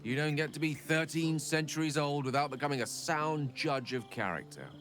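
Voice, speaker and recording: A man's voice: a little high, moderate pace, a bit bright, faint music behind, natural voice. A man playing a wry, learned ancient sage with a theatrical air in a video game. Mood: wry, amused. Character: wry, ancient male sage, thirteen centuries old. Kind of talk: dramatic video game acting.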